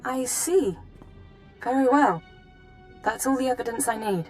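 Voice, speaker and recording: A woman's voice: a little high, lively intonation, unhurried, a little mellow, soft background music. A woman playing a controlled, efficient intelligence handler in a video game, speaking in a clipped, formal way. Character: brisk, clinical, controlled female intelligence handler. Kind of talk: video game cutscene acting.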